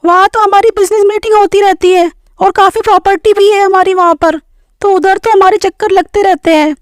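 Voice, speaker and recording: A woman's voice: very high-pitched, fairly even intonation, fairly quick, no echo, studio quality. A woman voicing a polite, sociable female relative in a cartoon family story, with a courteous, formal manner. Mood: gracious.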